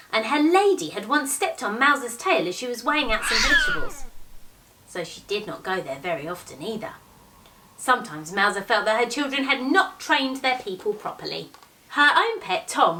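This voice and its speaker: A woman in her thirties, a teacher, reading a picture book aloud expressively with measured, deliberate storytelling phrasing. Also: medium pitch, pushed and bright. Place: small living room, indoors, on a sofa. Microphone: camera a few metres away. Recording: distant microphone in a living room.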